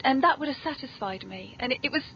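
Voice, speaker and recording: British female podcast host, slightly high voice, chatty and emphatic, muffled audio with obvious noise. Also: expressive, fast. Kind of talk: casual interview chat about films. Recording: dull lo-fi audio with clear noise.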